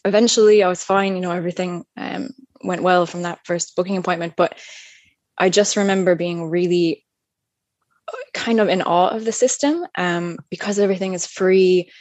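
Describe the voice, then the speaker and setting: A woman in her thirties from upstate New York with an American accent, telling her personal story as a podcast guest, thoughtful and trailing off softly. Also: fairly low pitch, steady tone, moderate pace, no room sound, lo-fi sound. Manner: thoughtful, many fillers, soft trailing endings.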